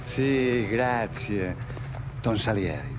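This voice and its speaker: An actor voicing an excitable Italian immigrant safecracker in a video game, with an Italian accent and a dramatic way of drawing words out. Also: male voice, lowish voice, takes it slowly, over music. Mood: eager, respectful. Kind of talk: cinematic video game acting.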